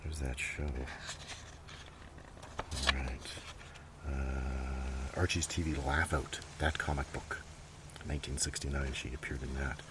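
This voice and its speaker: A man hosting ASMR, rambling softly and unhurriedly in muttered asides that often drift into a whisper, recorded outdoors. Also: rumbling low, takes it slowly, long pauses. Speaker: ASMR video host. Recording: noisy outdoor recording, breeze and handling sounds. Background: steady ambient noise, breeze, writing and page sounds.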